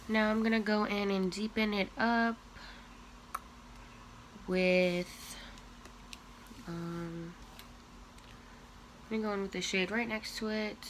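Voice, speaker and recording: Young woman, sniffly, unhurried makeup-tutorial chat, noisy home recording. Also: mid-range voice. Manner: slow, chatty, talking while applying makeup. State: sniffly from allergies. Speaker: beauty vlogger.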